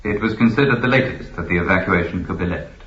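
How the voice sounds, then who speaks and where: An actor playing a formal, authoritative keeper of an ancient imperial library in a science fiction radio drama. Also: male voice, low voice, fast talker, a bit bright, highs cut off. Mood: informative, detached. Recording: echoey, band-limited old radio recording.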